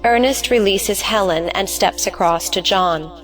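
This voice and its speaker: A female narrator with a medium-pitched voice reads stage directions for an audio play. Faint music sits behind her scripted narration. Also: a little slow.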